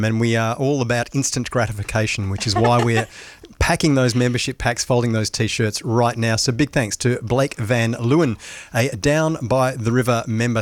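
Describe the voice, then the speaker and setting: A man's voice: medium pitch, no echo. Wry, well-spoken man in a radio studio. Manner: wry, good-humoured, articulate. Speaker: programs manager. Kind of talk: on-air radio chat and thank-you read-outs. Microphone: studio microphone.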